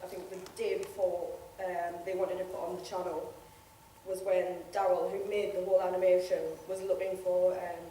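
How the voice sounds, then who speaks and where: A woman's voice: fairly low pitch, flat delivery, moderate pace, warm tone, slight background noise. A young woman from Newcastle chatting casually on a convention panel about amateur voice acting, often laughing and acting out what others said. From Newcastle, England. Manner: chatty, laughs often, acts out quoted remarks. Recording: echoey hall, voice from far off.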